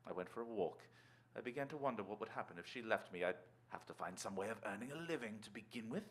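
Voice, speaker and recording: An actor playing a suave, calculating former tennis star in a radio drama, with an affable, glib, urbane manner. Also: male voice, on the low side, expressive, fast talker, lightly acted. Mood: brooding, calculated. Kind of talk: stage radio-drama acting.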